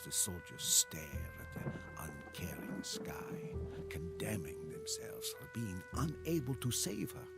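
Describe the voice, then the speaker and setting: An actor playing a weary, devoted French soldier, narrating a war journal for a game in a solemn, dramatic, gravelly voice. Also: male voice, low-pitched, sing-song, heavily acted. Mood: grieving. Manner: solemn, dramatic, measured delivery. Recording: loud music under the voice.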